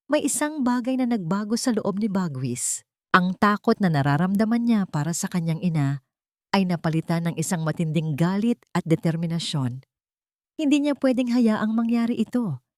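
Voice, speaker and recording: Low-voiced woman narrating a drama. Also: a little mellow, dry recording, clean studio sound. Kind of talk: dramatic audio story narration.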